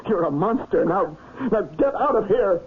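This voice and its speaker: A man playing an anxious, lovelorn private secretary in a vintage radio drama, stammering, agitated and halting. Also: high voice, lively intonation, breathy edge, exaggerated voice. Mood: indignant, anguished. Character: anxious, lovelorn male private secretary protesting his innocence. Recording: tinny, faintly hissy old radio recording.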